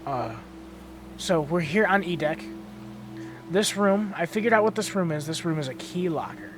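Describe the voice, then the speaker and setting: A young man with a gravelly, hoarse voice, tired and just woken up, casually narrating a gameplay tour from his bedroom, swearing freely and tripping over and correcting his words. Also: a little high, expressive, moderate pace, music in the background. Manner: stumbles and corrects own words. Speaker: channel host touring a build. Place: bedroom at home.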